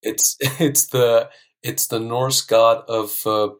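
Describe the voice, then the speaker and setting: A man, a laid-back, deadpan language teacher chatting as an interview guest. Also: mid-range voice, takes it slowly. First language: Icelandic. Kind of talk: casual interview chat.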